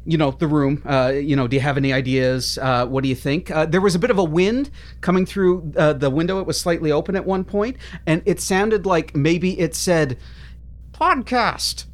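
An actor playing a nervous, awkward, earnest employee in a comedy audio drama, halting and stammering. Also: mid-range voice, natural voice.

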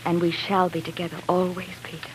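A woman's voice: lowish voice, monotone, a little fast, slight background noise, natural voice, low-quality recording. An actress playing a devoted, wistful young woman in love, speaking earnestly in 1940s radio drama style. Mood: devoted, resigned. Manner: earnest, romantic delivery.

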